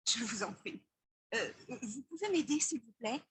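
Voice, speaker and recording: An actress playing a polite, chatty woman traveler in a recorded textbook dialogue, with a breathy voice. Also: on the high side, animated, normal speed, fairly bright tone, full character voice. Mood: friendly.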